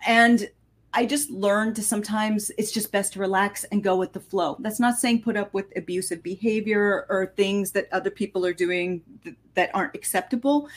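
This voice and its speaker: Candid woman guest, mid-pitched voice. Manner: candid, thoughtful. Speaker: featured storyteller guest. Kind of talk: reflective interview answers.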